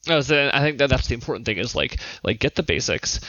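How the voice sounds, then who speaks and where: A man's voice: medium pitch, animated, fast, sharp tone, dry recording, highs cut off. A man chatting casually on a podcast in a blunt, informal way. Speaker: podcast panelist.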